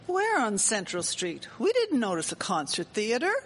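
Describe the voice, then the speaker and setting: Prim, indignant period lady acted by a woman, medium pitch, disapproving, radio play staged in an echoing hall. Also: wildly swooping pitch, moderate pace. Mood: uneasy, snobbish. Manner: prim, scolding. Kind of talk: live radio drama acting. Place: performing arts centre stage. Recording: long hall reverb of live stage.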